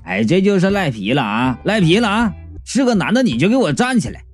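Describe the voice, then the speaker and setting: Man acting blustering scold, audiobook character, indignant, hectoring, high pitch, lively swings. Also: fairly quick, soft background music. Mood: exasperated.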